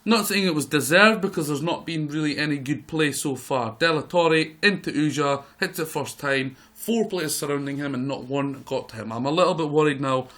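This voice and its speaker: Croaky man doing excited game commentary. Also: fairly high pitch, moderate pace, few pauses. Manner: excitable play-by-play, bursts of loud excitement. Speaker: gaming video host. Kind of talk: live commentary over a football management game.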